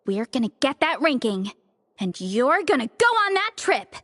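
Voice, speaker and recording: A woman voicing a cheerful, encouraging young comic fan in a video game, animated and upbeat. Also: high voice, normal speed, long reverb tail, full character voice.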